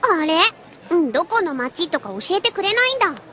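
A woman's voice: extremely high pitch, very animated, pushed and bright, heavily acted. A woman playing a cheeky, eager young girl with a bubbly, casual manner in an anime audio drama. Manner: casual, bubbly, drawn-out girlish speech.

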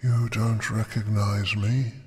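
A man voicing an ancient, imprisoned female dragon goddess who speaks slowly and with command. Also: on the low side, level tone, darkish tone. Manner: slow, measured, otherworldly.

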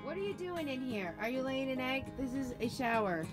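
A woman's voice: high-pitched, very animated, fairly quick, music in the background. A middle-aged woman talking casually to her pets in short, coaxing phrases, recorded on a handheld phone. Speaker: backyard chicken keeper, vlogger. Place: mostly outdoors in a garden and around a coop.

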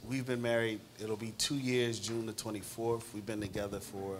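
A man's voice: lowish voice, level tone, normal speed, slight background noise. A man introducing himself as a playwright on a panel, hesitant and good-humored. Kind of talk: casual panel chat.

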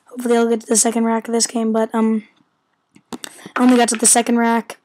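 A hoarse-voiced woman who is losing her voice from illness rambles in casual let's-play commentary at home, full of fillers and stumbles. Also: fairly high pitch, monotone, fast, darkish tone. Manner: rambling, filler-heavy, stumbles over words. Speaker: gameplay commentator. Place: a room at home.

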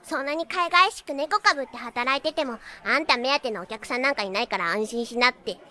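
A woman's voice: high-pitched, fast talker, sharp tone. A woman playing a perky, cheeky teenage idol magical nurse in an anime, with cutesy catlike tics. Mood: snide, bratty. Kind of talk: anime character acting and excited narration.

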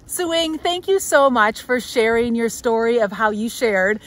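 Woman, middle-aged, cheerful announcements, slightly high voice, outdoors, phone. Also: lively intonation, unhurried. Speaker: church education leader. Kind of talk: church announcements. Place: snowy lakeshore at dusk, outdoors.

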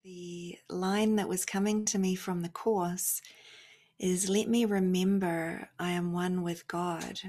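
A woman leading a guided spiritual meditation, speaking softly and reflectively in short phrases with long pauses, often fading almost to a whisper. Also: fairly low pitch, steady tone.

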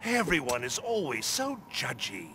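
A gleefully mad, sinister clown villain from a fighting game. He draws words out slowly with huge swings in pitch, theatrical and mocking. There is clear noise in the background. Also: wildly swooping pitch, bright, forceful tone, exaggerated voice. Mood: gleeful menace. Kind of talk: video game character dialogue.

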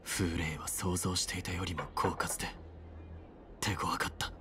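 Very deep, grave young-warrior scout. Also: male voice, flat delivery, normal speed, pushed and bright, a little hiss or hum. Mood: hushed regret. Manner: terse, serious, restrained. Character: grave, dutiful male scout reporting to his general. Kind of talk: Japanese anime game voice acting. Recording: music behind.

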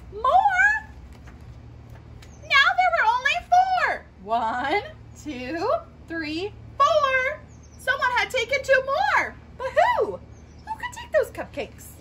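Young female storytime reader, unhurried, very lively and expressive, sing-song delivery for small children. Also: very high-pitched, very noisy. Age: young adult. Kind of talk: children's picture book read-aloud. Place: outdoors in a leafy garden.

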